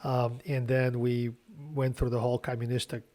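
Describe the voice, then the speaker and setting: A man, a guest speaking in a measured, explanatory way. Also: medium pitch, monotone, darkish tone. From Ukraine. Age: 40s. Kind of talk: casual podcast interview.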